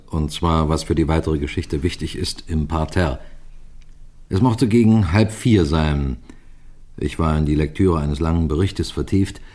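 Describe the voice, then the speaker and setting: A seasoned, composed detective superintendent , played by a male actor with a very low voice. He speaks in a measured, courteous and suspenseful way, in the manner of a radio crime play. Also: darkish tone, close to an everyday voice. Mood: tense storytelling. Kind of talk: radio crime drama narration and dialogue.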